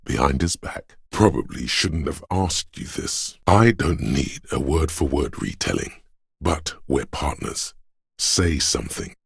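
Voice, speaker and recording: A man playing a grave, all-knowing inner voice of police camaraderie in a video game, narrating in a solemn, measured, literary way with a gravelly, husky tone. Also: a bass voice, lively intonation, no room sound, clean studio sound, heavily acted. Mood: quietly solemn.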